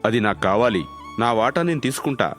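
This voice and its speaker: A man voicing a self-interested, dismissive city-dwelling elder son in a story, curt and low-key. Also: lively intonation, a little fast, fairly bright tone, close to an everyday voice. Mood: demanding. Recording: music under the voice.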